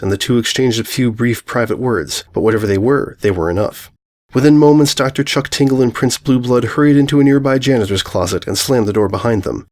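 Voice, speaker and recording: A man's voice: medium pitch, darkish tone. A man reading scripted fan fiction as the story's narrator.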